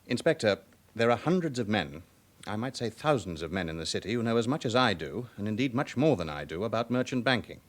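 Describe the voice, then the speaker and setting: An actor playing a guarded, affronted gentleman banker, formal and British, in a radio crime drama. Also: on the low side, sing-song, a little fast. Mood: indignant. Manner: formal, indignant.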